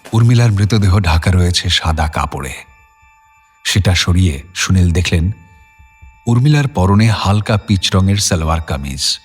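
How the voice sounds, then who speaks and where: Deep male story narrator over music. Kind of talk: scripted audio story narration.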